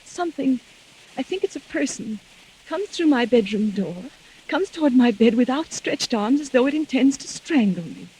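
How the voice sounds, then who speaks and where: A woman playing a frightened, suspicious wife in a 1940s radio drama, with an anxious, halting, insistent delivery. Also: sits high, expressive, mellow, husky, full character voice, low-quality recording. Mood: anxious confiding. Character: frightened, suspicious wife haunted by fears of murder. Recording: vintage 1940s radio recording.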